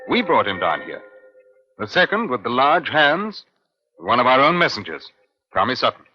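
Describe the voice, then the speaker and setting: Over a dull old radio recording comes a police inspector of middle age. He speaks in a medium-pitched voice with formal, measured authority, dry and probing, in the style of a fifties crime serial. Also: big pitch swings. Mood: firm, reasoning. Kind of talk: 1950s radio crime drama acting.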